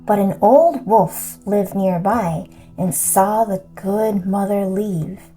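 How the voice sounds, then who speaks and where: Slow, mellow woman storyteller. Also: medium pitch, poor audio. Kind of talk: read-aloud children's story narration. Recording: music behind the voice.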